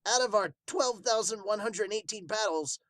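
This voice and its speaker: A man's voice: sits high, moderate pace, fairly bright tone, no echo, studio quality, pitched above the performer's own voice. A man voicing an earnest warrior beast, a devoted big brother, in a formal, dramatic manga dub.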